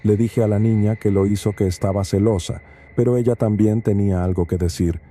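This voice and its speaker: Male narrator, low and very dark voice, steady measured read for a horror story channel. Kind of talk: scripted first-person narration.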